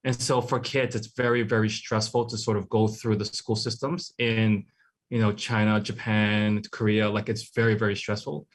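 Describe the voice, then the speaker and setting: A man from Hawaii chats with an easy, rambling looseness, leaning on "like" and "you know" as he thinks aloud. His voice sits on the low side. He sounds like a guest talking freely about his art. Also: normal speed. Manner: relaxed, rambling, many "like" and "you know" fillers. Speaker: artist guest. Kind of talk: casual interview chat.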